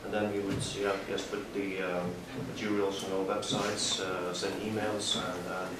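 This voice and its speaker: A man speaking for a diaspora organization on a panel, halting and full of filler sounds. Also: low-pitched, flat delivery. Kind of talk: panel discussion. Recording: echoey hall, mic far off, background noise.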